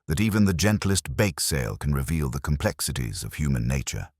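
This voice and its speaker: Man playing a deep, calm police inspector. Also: no room sound, studio quality, exaggerated voice. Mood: measured, reflective. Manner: measured, formal, gently lecturing. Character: calm, kindly, tactful male police inspector.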